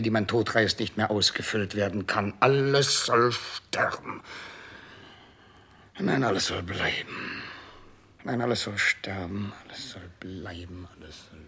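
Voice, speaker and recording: Husky, low-voiced dying king. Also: a little slow, sharp tone, heavily acted. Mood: anguished, torn. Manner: theatrical, wavering between commands and murmured reverie. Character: dying king, clinging, self-absorbed, wistful and capricious. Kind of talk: 1960s German radio play acting.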